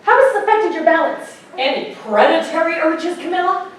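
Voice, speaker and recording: A woman's voice: extremely high pitch, expressive, a little fast, slight background noise. A woman playing a pushy, nosy news reporter in a stage musical. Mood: prying, eager. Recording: echoey hall, distant mic, music.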